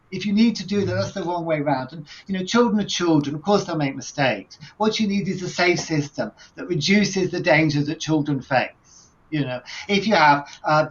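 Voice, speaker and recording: A man on an online panel, thinking aloud in a halting way with frequent restarts and repeated words. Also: fairly high pitch. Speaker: research panelist. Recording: dull lo-fi audio.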